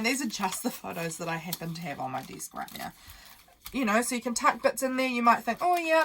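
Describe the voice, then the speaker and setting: A woman's voice: fairly high pitch, a bit bright, a little hiss or hum, rough recording. A young woman who sounds nasal from a cold, hosting a casual, meandering craft tutorial full of fillers while she works at her desk.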